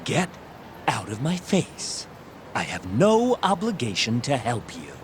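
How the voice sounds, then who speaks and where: Curt club doorman, swinging pitch. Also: huge pitch swings, unhurried, noisy background. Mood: curt, dismissive. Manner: curt, dismissive. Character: brusque, dismissive club doorman guarding exclusive hostess club. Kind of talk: English video game cutscene acting.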